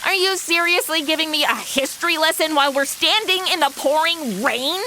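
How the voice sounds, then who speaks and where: A woman's voice: high-pitched, fairly quick, bright, forceful tone. A woman playing a brash, sarcastic, whiny modern American sidekick in a comedy audio drama, full of slang. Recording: noisy, rain-like hiss behind.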